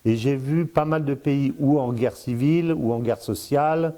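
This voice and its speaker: A man's voice: medium pitch, normal speed, warm tone. An older man in his sixties giving political commentary in casual speech, hesitant, with many fillers and repeated words, addressing the listener directly. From born in Congo, lived in Africa, Spain, Brazil. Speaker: strategic affairs commentator, guest.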